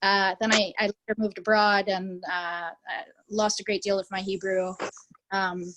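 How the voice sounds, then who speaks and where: A woman's voice: mid-range voice, little pitch movement, normal speed, a bit bright, rough recording. An American woman chatting on a video call, talking hesitantly with many ums and restarts. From United States. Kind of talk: casual conversational reminiscing.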